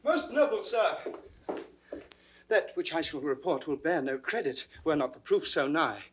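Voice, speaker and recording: An actor playing an urgent, deferential lord bringing news in Shakespearean verse. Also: male voice, a little high, big pitch swings, measured pace, a little hiss or hum. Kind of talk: classical Shakespearean stage acting.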